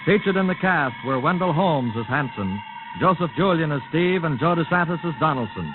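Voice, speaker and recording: A man hosting and announcing a 1950s radio series with dramatic, measured scripted narration. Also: fairly high pitch, animated. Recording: tinny vintage radio recording with music.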